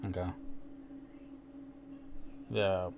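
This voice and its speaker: A man's voice: low voice, flat delivery, drawn-out words, vocal fry, a little mellow, slight background noise. A man in casual conversation, blunt and sweary, often checking that listeners follow him. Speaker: crypto live stream participant. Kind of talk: casual chat.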